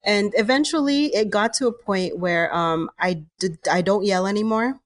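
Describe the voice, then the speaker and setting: A woman with a loud, projecting voice, chatting casually and telling stories in an animated way as a podcast regular. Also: medium pitch, normal speed, no room sound, studio quality. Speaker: regular podcast speaker and mother. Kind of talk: casual chat.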